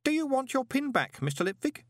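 A man's voice: very high voice, sing-song, fairly quick, a bit bright, dry recording, clean studio sound, voice pushed up, heavily acted. A man voicing an odd, earnest, pin-obsessed boy in an audiobook, speaking in an eager, polite and fussily precise way. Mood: cheerful. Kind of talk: audiobook character voice.

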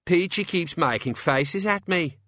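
A man voicing a gruff, bossy, short-tempered gang leader in an audiobook. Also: fairly high pitch, expressive, a little slow, a bit bright, no echo, studio quality, voice pushed up. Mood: firm, strained. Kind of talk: audiobook character acting.

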